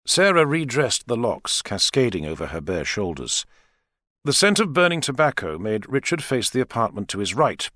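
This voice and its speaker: A man narrating dramatic fiction in a measured, expressive storytelling manner. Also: medium pitch, no room sound, clean studio sound. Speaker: audiobook narrator.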